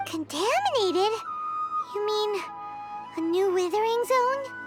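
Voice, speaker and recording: A woman voicing a small, eager fairy girl companion in a video game, squeaky and childlike. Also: lively intonation, takes it slowly, full character voice. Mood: curious, eager. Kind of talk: video game character acting. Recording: loud music behind.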